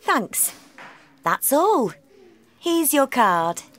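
A woman voicing an adult teacher at a school club desk, asking questions slowly and very clearly in a scripted textbook dialogue. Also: high voice, big pitch swings, a bit bright, voice pushed up, exaggerated voice. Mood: polite. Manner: slow, clearly enunciated questions. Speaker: textbook audio dialogue reader.

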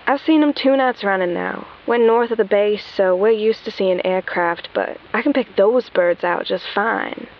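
A woman acting as a folksy, plain-spoken caller in a radio drama. She is polite and earnest in a mid-pitched voice. The phone-in sound is a little dull. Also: normal speed, hardly any pauses, close to an everyday voice.

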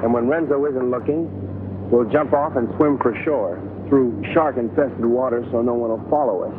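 Muffled, deadpan young secret agent played by a man. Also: mid-range voice, normal speed, natural voice. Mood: eager scheming. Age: young adult. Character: self-deluded young secret agent wooing a woman. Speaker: actor and narrator. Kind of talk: 1960s film comedy, deadpan spy narration and dialogue. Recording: muffled, noisy old film soundtrack.

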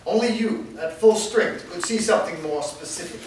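A man's voice: high voice, moderate pace, a little mellow, lightly acted. A man reading a dutiful, respectful elder. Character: dutiful male elder, a servant reporting. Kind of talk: staged script reading. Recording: echoey room from far off, background noise.

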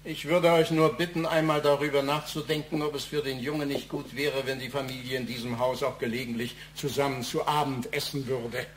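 An actor playing an old, reproachful grandfather in a radio play, speaking in a formal, measured way. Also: mid-range voice, flat delivery, a little hiss or hum, lightly acted.

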